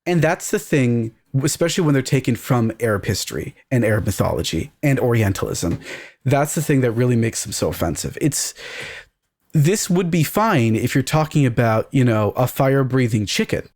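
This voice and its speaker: A man's voice: medium pitch, darkish tone. A man speaking as a podcast guest, discussing fantasy games in an emphatic, candid, opinionated way. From Canada. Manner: emphatic, candid, swears casually. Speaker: podcast guest, game writer.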